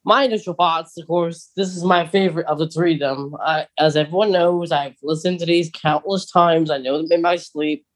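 A man's voice: on the high side, steady tone, moderate pace, few pauses, low-quality recording. A man co-hosting a podcast, talking casually and eagerly as a big fan, with plenty of ums. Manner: enthusiastic fan, talkative, many fillers. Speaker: podcast co-host.

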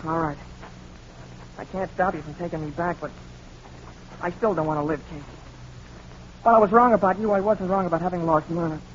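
A man's voice: high-pitched, steady tone, brisk, pauses often, lightly acted. An actor playing an anguished, lovesick, naive young man, earnest and melodramatic, in a 1940s radio crime drama. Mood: despairing. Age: young adult. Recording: muffled, noisy old radio recording.

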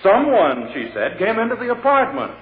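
Echoey vintage radio courtroom: an insinuating, emphatic prosecutor, raised pitch, theatrical. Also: male voice, wildly swooping pitch. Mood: sarcastic, dramatic. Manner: oratorical, emphatic, insinuating, dramatic pauses. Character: theatrical, sarcastic prosecutor addressing a jury. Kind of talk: 1940s radio drama acting. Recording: tinny, echoey, noisy old radio recording.

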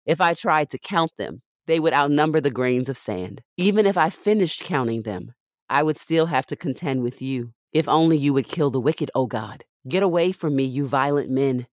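A woman's voice: low-pitched, no echo, studio quality. A woman reading Bible passages aloud as a scripted devotional for a podcast.

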